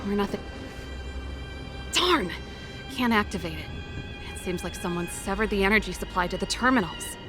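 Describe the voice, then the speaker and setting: An actress plays a young woman mechanic in a video game. She sounds confident and easygoing, talking casually with a friendly, self-assured air. Music plays clearly behind her. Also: mid-range voice, expressive, moderate pace, pauses often, lightly acted. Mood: matter-of-fact. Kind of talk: video game character acting.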